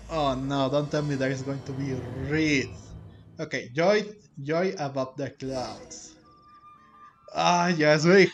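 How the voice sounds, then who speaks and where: A man doing casual, rambling gameplay commentary as host, with halting delivery. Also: a little high, fairly bright tone. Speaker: host of a game playthrough channel. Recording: game music under the voice. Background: game music.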